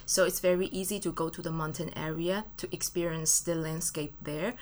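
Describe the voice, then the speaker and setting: Woman, low voice, English, relaxed guest in studio. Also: moderate pace. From Taiwan. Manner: friendly, casual, uses fillers and non-native grammar. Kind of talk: studio interview answers. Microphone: studio mic.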